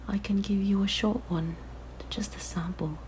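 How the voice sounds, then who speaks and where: A woman voicing a possessive, curious siren monster in an ASMR roleplay, speaking in a soft, breathy whisper with a gentle, coaxing manner. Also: medium pitch, fairly even intonation, moderate pace, slight background noise, close to an everyday voice, rough recording. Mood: enchanted, coaxing. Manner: gentle, wistful, fascinated, coaxing. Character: possessive, curious young female siren monster, softly luring. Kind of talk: ASMR roleplay, intimate monologue.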